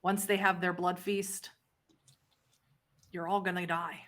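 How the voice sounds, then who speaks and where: A woman's voice: fairly low pitch, flat delivery, unhurried, fairly bright tone. Woman playing a grim, desperate vampire outcast. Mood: grim, defiant. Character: desperate, unkempt vampire woman with pet rats, Sabbat runaway.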